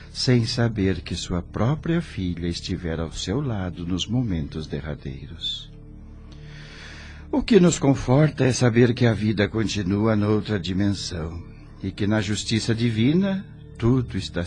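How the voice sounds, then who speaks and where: A man's voice: on the low side, a little mellow. A man acting a middle-aged adoptive father in an audio drama, earnest and troubled, speaking formally and politely with a Brazilian accent. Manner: formal, polite, emotive phrasing.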